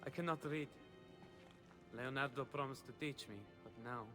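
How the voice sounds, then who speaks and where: A man's voice: medium pitch, moderate pace, close to an everyday voice. A man voicing a cheeky young Italian apprentice with an Italian accent in a video game, glib and irreverent. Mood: subdued. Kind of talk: video game cutscene acting. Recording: music behind the voice.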